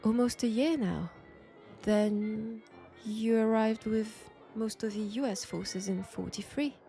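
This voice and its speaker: A woman's voice: a little high, warm tone, soft background music. A woman playing a mysterious, flirtatious Frenchwoman in 1940s England, speaking with a French accent in an intimate, coy roleplay audio drama. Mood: curious, teasing. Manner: unhurried, coy, conversational with pauses.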